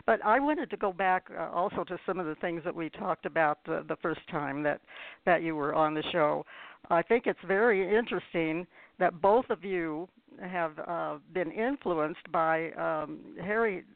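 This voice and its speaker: Creaky-voiced older woman on a phone line. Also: on the low side, dry recording. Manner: halting, repeats words while forming questions. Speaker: radio show host. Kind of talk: casual interview questioning. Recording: lo-fi phone-like internet radio line.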